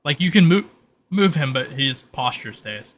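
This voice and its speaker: A man's voice: mid-range voice, lively intonation, normal speed, a bit bright, slight background noise. A man narrating a tabletop roleplay as game master in a casual, improvised and informal way. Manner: informal, peppered with "like".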